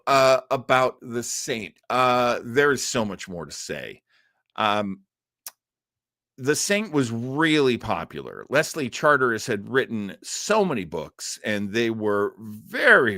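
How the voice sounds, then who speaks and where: A man hosting a live listening show, telling stories in a casual, informative way with emphatic phrasing and dramatic pauses. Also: mid-range voice, fairly bright tone, dry recording, clean studio sound. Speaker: host of a live old-time radio listening show. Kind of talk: casual informative storytelling to an online audience.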